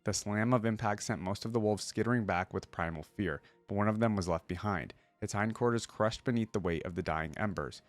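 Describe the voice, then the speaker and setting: A man hosting a reaction video, reading lore aloud and adding casual, rambling commentary as he thinks out loud. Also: lowish voice, fairly quick, hardly any pauses, no room sound.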